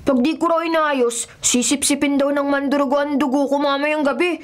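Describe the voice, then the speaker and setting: A woman's voice: sits high, steady tone, moderate pace, close to an everyday voice. A woman playing a curious, stubborn, talkative young boy in a radio drama. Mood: earnest, spooked. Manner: childlike, talkative. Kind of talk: scripted radio drama acting.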